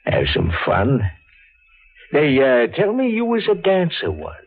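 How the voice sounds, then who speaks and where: An actor with an American accent playing a sadistic gangster boss who toys menacingly with people in an old radio drama. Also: male voice, medium pitch, huge pitch swings, soft background music, full character voice. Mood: sadistic amusement. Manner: slow, toying menace. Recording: muffled vintage radio recording.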